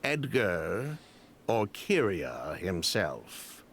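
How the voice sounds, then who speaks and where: A man's voice: low voice, wildly swooping pitch, very slow, fairly bright tone, slight background noise, heavily acted. An actor playing an evasive male suspect in a video game, hesitant and theatrical, putting on casual airs.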